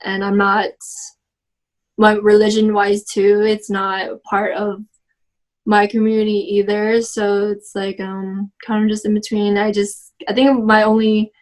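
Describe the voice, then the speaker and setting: A woman's voice: mid-range voice, level tone, unhurried. A young woman in her twenties from Texas, answering oral history interview questions casually with many "like" and "um" fillers and restarts. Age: 20s.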